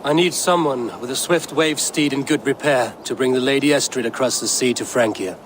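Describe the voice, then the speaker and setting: An actor playing a commanding, stern Viking raider in a video game, with a measured, authoritative manner. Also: male voice, mid-range voice, background noise, lightly acted. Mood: resolute.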